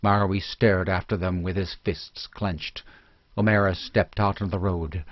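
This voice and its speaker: A man's voice: low-pitched, little pitch movement, measured pace, no room sound. A man reading scripted fantasy narration in a dramatic audiobook style. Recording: dull lo-fi recording.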